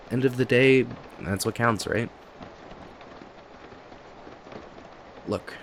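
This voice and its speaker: A man acting a talkative, kindly cafe owner in an ASMR roleplay, chatting to the listener in a friendly, hesitant, apologetic ramble. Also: on the low side. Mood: warm, reassuring. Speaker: voice actor in an audio roleplay. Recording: steady rain noise behind voice. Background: rain and cafe ambience.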